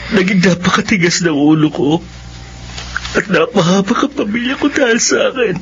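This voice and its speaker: Radio drama role: meek, sorry younger brother, Filipino, higher pitched, polite, faint noise. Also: male voice, expressive, moderate pace, vocal fry, muffled recording. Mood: tearful pleading. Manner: earnest, pleading, polite.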